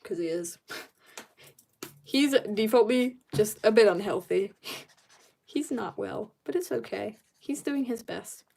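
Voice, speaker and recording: A woman's voice: mid-range voice, lively intonation, slow, vocal fry, pauses often, darkish tone. A young woman chatting casually on an art livestream, rambling and slangy as she jumps between asides in a giggly way. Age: teenager or young adult. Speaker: streamer drawing and chatting.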